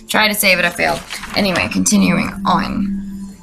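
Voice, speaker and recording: A woman's voice: lowish voice, normal speed, gravelly. A woman in her twenties giving casual comedic commentary, rambling, sweary and sardonic with an exasperated manner. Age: 20s. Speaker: video creator.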